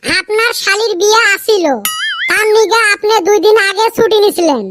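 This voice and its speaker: A woman's voice: very high voice, moderate pace, background noise. A woman voicing cheeky, scheming village schoolboys in a comedy cartoon, arguing and wheedling with mock innocence in a Rajbongshi accent. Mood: argumentative. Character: cheeky, scheming village schoolboy pupils, high-pitched and childlike. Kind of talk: Bengali comedy cartoon dubbing.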